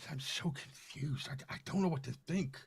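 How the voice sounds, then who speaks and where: A man's voice: on the high side, moderate pace, gravelly, slightly rough, no room sound, exaggerated voice. A man playing an anxious, confused, stammering widower in an old-time radio horror play. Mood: brooding, confused. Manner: stammering, theatrical.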